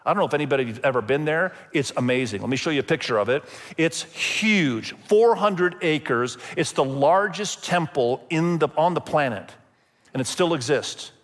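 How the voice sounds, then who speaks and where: A man who grew up in Indonesia, preaching a sermon as pastor in a conversational storytelling way, with emphatic pauses. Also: fairly high pitch, lively intonation. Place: church sanctuary. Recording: long hall reverb.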